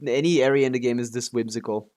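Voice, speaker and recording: A man's voice: medium pitch, steady tone, fast, darkish tone. Casual, profane man livestreaming a game. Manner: profane, complaining. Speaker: live streamer playing a video game. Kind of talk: casual rambling gaming chat with viewers.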